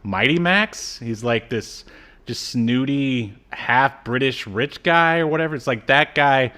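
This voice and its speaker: A man's voice: medium pitch, measured pace, bright, forceful tone. A man co-hosting a podcast, chatting casually and recapping a show in a sardonic, jokey way. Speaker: podcast co-host. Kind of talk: casual comedic chat recapping a cartoon.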